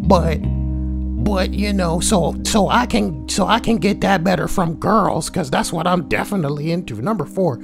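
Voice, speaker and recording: A woman's voice: lowish voice, normal speed, creaky, over music. A woman reading forum posts aloud and adding crude, sweary, joking commentary. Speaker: online narrator and commentator.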